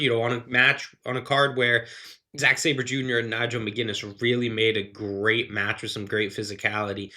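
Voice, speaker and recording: A man with a bright, forward voice that sounds slightly phlegmy from allergies. He talks through his thoughts in loose, earnest run-on sentences. His delivery is casual and analytical, like a host giving a spoken review. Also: mid-range voice. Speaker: wrestling reviewer, show host.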